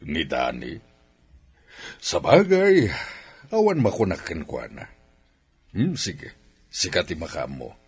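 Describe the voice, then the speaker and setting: A man with an Ilocano accent playing an authoritative but agreeable father who runs a company, in a radio drama, with a husky voice. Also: low-pitched, wildly swooping pitch, takes it slowly, pauses often, heavily acted. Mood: authoritative, accepting.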